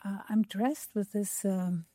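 Give me a slow-speaking woman with a flat, mellow voice, thinking aloud in a gallery. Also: mid-range voice, dry recording, clean studio sound. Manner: slow, searching for words. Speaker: interviewing artist. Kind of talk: gallery conversation. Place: gallery space.

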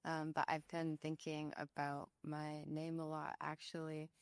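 Woman, young, low gravelly voice, dry sound, hesitant ums. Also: completely flat delivery, a little slow, no echo. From Canada. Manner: hesitant, many ums, thoughtful. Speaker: artist, interview guest. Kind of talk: casual conversation. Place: gallery.

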